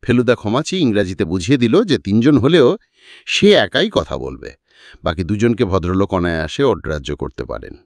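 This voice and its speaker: A man reading a story aloud as an audiobook narrator in an expressive, scripted style. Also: lowish voice, normal speed, dry recording.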